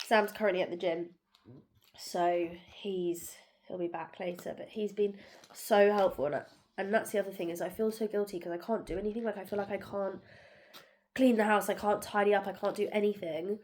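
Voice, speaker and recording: Tired congested woman vlogging. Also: mid-range voice. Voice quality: nasal, bunged up. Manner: soft, tired, rambling with many fillers. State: congested, sore throat. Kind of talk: casual vlog. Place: at home.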